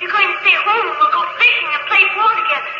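A performer playing an excited, eager young boy in an old radio drama, on a muffled recording. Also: very high-pitched, very fast, heavy background noise. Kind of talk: 1950s radio drama acting.

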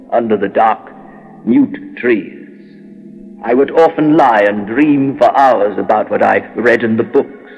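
An actor playing a lonely, tormented castle dweller in a horror radio monologue, speaking in a halting, haunted, theatrical manner with dramatic pauses. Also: male voice, on the low side. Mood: wistful, melancholy. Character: lonely, tormented male castle dweller raised among bones and skeletons. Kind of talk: dramatic first-person horror monologue, old-time radio acting. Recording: dull old radio recording, long reverb.

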